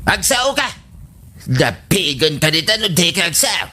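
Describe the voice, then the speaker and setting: A man's voice: fairly high pitch, sing-song, a little slow, sharp tone, full character voice. A man playing an exasperated, scolding father in a comedy radio drama. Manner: scolding, emphatic.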